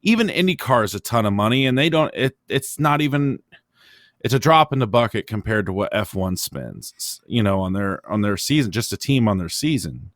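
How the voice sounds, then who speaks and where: A man hosting a motorsport podcast, giving his opinions in casual, halting talk as he thinks aloud. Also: fairly low pitch, sing-song, dry recording, clean studio sound. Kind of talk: casual racing discussion, opinionated.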